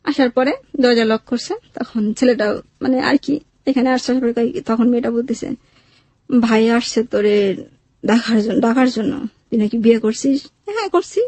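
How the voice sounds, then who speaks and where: A high-pitched woman with a Bangladeshi accent tells a personal story. Her speech is plain and colloquial, full of quoted exchanges, and the recording is close and dry. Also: moderate pace, mellow, no echo. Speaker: interview guest telling own life story.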